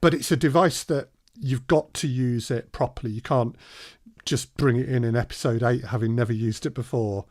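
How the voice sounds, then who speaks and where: A man leads an informal workshop in the voice of a working audio drama producer. His pitch is mid-range for a man and he explains in a halting, pause-filled way. The sound is dry, with hardly any room on it. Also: fairly even intonation, dry recording. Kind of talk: informal spoken workshop presentation.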